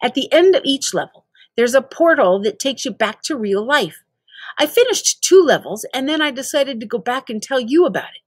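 A woman voicing an excited, cocky young boy gamer in a storybook, enthusiastic, breezy and persuading. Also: high voice, moderate pace, dry recording. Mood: eager, boastful.

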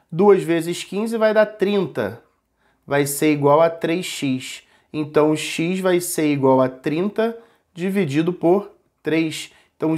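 A man's voice: fairly high pitch, darkish tone. A man in his forties, a math teacher patiently working through an exam problem step by step, speaking to a webcam at home. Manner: patient, repeats and points at the board.

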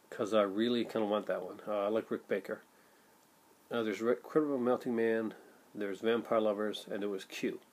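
A man's voice: fairly low pitch, a little mellow. A middle-aged man vlogging casually to camera at home, rambling with many fillers and restarts. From Canada. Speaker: vlogger, film collector. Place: home room with shelves of films, indoors. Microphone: built-in webcam mic at desk.

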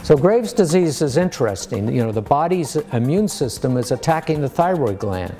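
An American man in his sixties, a surgeon explaining medicine to a lay audience in a measured, plain-spoken way. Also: medium pitch, big pitch swings, warm tone, faint music behind. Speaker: surgeon giving a public lecture. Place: medium-sized lecture room.

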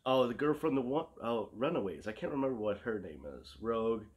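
A man's voice: mid-range voice, animated, fairly quick. A man in his thirties chatting casually as a show co-host in a living room, often searching for words. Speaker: co-host of a comic collecting show. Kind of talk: casual chat.

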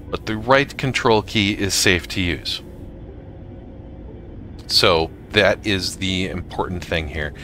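A man narrating a casual software tutorial for gamers in a halting way, often pausing mid-sentence. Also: on the low side, slight background noise. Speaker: gamer making a tutorial video.

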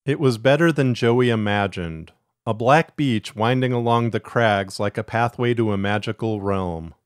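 A man's voice: mid-range voice, normal speed, dry recording, studio quality. A man reading scripted narration as the host and storyteller of a fiction podcast.

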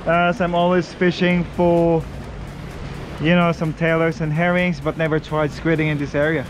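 A middle-aged man chatting casually as he fishes from a rocky breakwater, laughing and whooping when he gets excited, recorded on an action camera. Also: a little high, normal speed, over music. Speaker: fishing vlogger. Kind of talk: casual fishing vlog commentary. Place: outdoors on a rocky breakwater by the sea. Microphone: head-mounted action camera. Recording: wind and surf noise outdoors.

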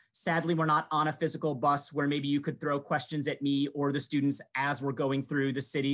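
A man's voice: fairly high pitch, fairly bright tone. A man in his thirties from New York hosting an admissions webinar over a video call, talking in a friendly way with many fillers and restarts. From Rochester, New York. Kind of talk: scripted-free webinar presentation. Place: small room indoors. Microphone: video call headset.

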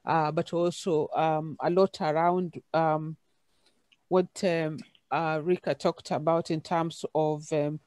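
A woman from Kenya with a Kenyan accent, speaking haltingly with many fillers as a panelist on a video call. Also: low voice, level tone, darkish tone, no room sound. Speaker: conference panelist, university lecturer. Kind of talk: unscripted panel discussion. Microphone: video call, camera off.

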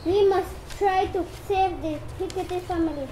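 A female performer plays an urgent little puppet-show bird. The voice is very high and soft-toned, with slight noise in the live recording. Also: steady tone, normal speed. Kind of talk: amateur puppet show acting.

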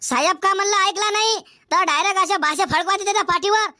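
A man's voice: very high voice, quick pace, sharp tone, no echo, studio quality, full character voice. A man voicing a scrappy, hot-headed male villager in a comedy cartoon, brash in manner, with a Varhadi Marathi accent. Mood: combative. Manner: brash, combative.

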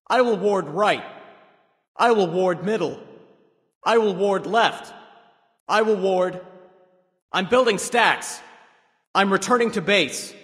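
An actor playing a proud, commanding warrior sage god in a video game, giving crisp, theatrical callouts with confidence. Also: male voice, high voice, takes it slowly, pauses often, a bit bright, in a large hall.

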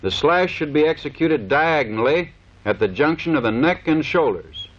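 A man giving scripted, matter-of-fact instructional narration for a training film. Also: on the high side. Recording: dull lo-fi 1930s film soundtrack.

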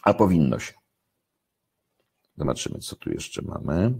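A man in his sixties from Poland giving unscripted live commentary, halting and deliberate, repeating phrases for emphasis, with a husky voice. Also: a bass voice, very animated, a little mellow. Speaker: commentator answering viewers' questions. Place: indoors, home kitchen.

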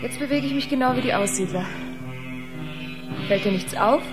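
Intense, high-voiced space musician. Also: female voice, moderate pace, over music. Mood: eager, insistent. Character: intense, proud female biomusician on a spaceship. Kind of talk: German radio play acting.

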